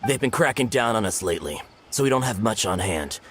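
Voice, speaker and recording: An actor playing a jumpy small-time crook in a video game, informal and expressive. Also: male voice, lowish voice, brisk. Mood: sly.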